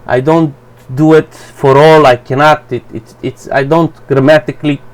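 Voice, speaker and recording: A man whose first language is Romanian, giving a blunt, profane, halting commentary for his channel. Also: a little high, steady tone. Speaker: vlogger. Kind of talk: rambling personal commentary.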